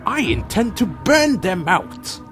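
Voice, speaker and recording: Man playing a proud, high-pitched vampire clan leader. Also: sing-song, slow pace, fairly bright tone, heavily acted. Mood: tense, commanding. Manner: formal, intense, theatrical. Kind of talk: dramatic narration and dialogue in audio drama. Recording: dramatic music behind.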